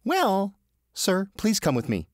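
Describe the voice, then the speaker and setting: A man's voice: high-pitched, wildly swooping pitch, slow, dry recording, studio quality, voice pushed up, exaggerated voice. A man voicing a polite, suspicious female receptionist who speaks in a pointed way. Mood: prim.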